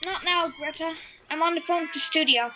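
A woman's voice: extremely high pitch, fairly quick, background noise. An actress playing a haughty, imperious film director who dotes on her cats, in an audio drama. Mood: curt, exasperated. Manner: imperious, theatrical. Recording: music behind the voice.

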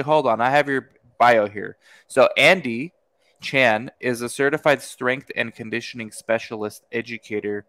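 A man hosting a podcast interview, talking in an energetic, informal way. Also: mid-range voice, no room sound. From United States. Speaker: podcast host. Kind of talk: casual interview chat.

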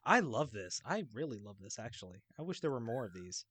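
A man chatting casually as a quiz contestant, thinking aloud in an excitable, hesitant way. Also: mid-range voice, a little fast, dry recording. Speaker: podcast guest, quiz contestant.

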